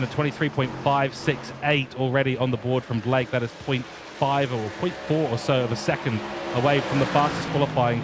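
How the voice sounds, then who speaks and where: British male race commentator, chatty live calls over clear engine noise. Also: mid-range voice. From England. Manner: chatty, reads viewer chat aloud, many fillers. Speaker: sim racing commentator.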